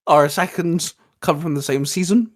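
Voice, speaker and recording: A man's voice: a little high, moderate pace. Enthusiastic man in casual fan chat. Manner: enthusiastic, rambling, occasionally swearing. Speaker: co-host.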